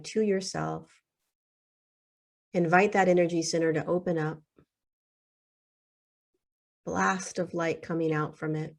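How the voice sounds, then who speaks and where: Slow, low-voiced woman leading meditation. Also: darkish tone. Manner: soothing, unhurried, with long pauses between short phrases. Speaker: guided meditation leader. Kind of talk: guided meditation.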